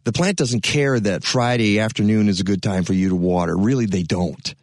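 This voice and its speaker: A man's voice: lowish voice, normal speed, dry recording. Chatty radio host man, dry studio sound. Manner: rambling, many fillers and restarts. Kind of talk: casual radio talk.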